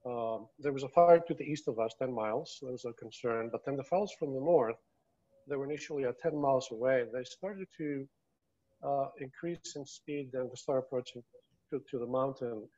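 A man in his fifties reports a timeline in a halting, searching manner. His mid-pitched voice comes through an earphone mic on a video call, a little dull in sound. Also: mellow. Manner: halting, hesitant delivery. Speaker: observatory superintendent. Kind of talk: giving a factual timeline update.

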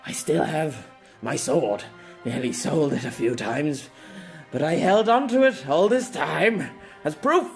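A flustered middle-aged swordsman long out of practice, played by a male narrator. He speaks haltingly and wearily in a fantasy audio story, with music behind him. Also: on the high side, lively intonation, voice pushed up. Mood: flustered, exasperated. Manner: halting, bemused.

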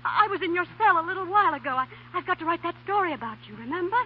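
An actress playing a sharp-tongued, bossy star reporter in a brisk 1940s radio drama style. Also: female voice, very high-pitched, lively intonation, a little hiss or hum. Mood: soothing, nervous. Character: sharp-tongued, quick-thinking female star newspaper reporter. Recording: muffled, humming 1940 radio recording.

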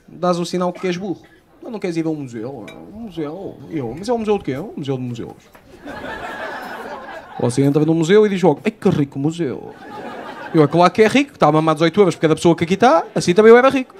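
High-voiced Portuguese comedian on stage. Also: male voice. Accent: European Portuguese. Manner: animated storytelling, acting out quoted voices. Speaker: stand-up comedian. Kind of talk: live stand-up routine. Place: small outdoor stage at night. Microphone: handheld microphone.

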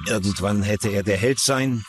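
A man voices a male prosecutor explaining a case in a dubbed documentary interview. The voice is low, with faint music underneath. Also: moderate pace, natural voice. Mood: explanatory.

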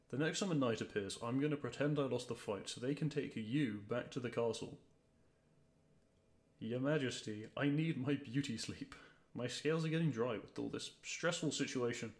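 A man's voice: medium pitch, natural voice. A man playing a grumpy, weary dragon who is secretly fond, speaking to the listener in an exasperated, dry way in an audio roleplay. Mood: weary, annoyed.

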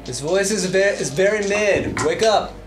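A man's voice: high-pitched, normal speed, over loud music. A man playing a tabletop game, improvising casually in character with hesitant, stop-start phrasing.

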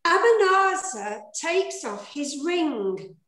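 Slow, high-pitched theatrical woman narrator. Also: sing-song, fairly bright tone. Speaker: director and fairy narrator. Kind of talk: pantomime narration and stage directions. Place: indoors at home, on a video call. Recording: echoey room on video call.

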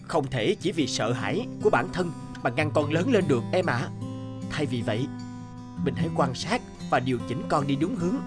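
A man playing a calm, reasoning father in a cartoon, explaining things earnestly, patiently and firmly. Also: a little high, normal speed, lightly acted. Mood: firm advising. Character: calm, reasoning father, patient but firm with his wife. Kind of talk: cartoon voice acting. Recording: music behind the voice.

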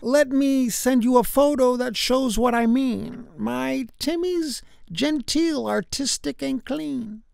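A woman's voice: sits high, slow, vocal fry, few pauses, darkish tone, no echo, clean studio sound, pitched above the performer's own voice, heavily acted. A woman voicing a courteous, proud storybook father defending his son.

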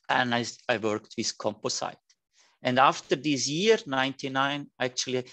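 A man in his fifties with a Liechtenstein German accent gives a friendly online lecture, his phrasing a little halting. His voice sits in a middle pitch and comes through dry and close over a video call. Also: a bit bright, dry recording. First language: German (Liechtenstein dialect). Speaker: dental technician educator.

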